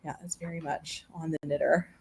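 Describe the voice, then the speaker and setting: A woman's voice: sits low, little pitch movement, brisk, gravelly, darkish tone, husky. A woman hosting a casual live-stream chat in a yarn shop, asking playful questions and laughing often. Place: yarn shop with a small gathering.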